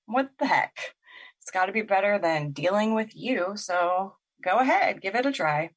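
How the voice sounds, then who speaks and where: A woman plays a young woman in an audio drama, bitter and sarcastic. Her pitch is mid-range and moves in lively swings, with an exasperated, naturalistic delivery. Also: moderate pace, close to an everyday voice. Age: young adult.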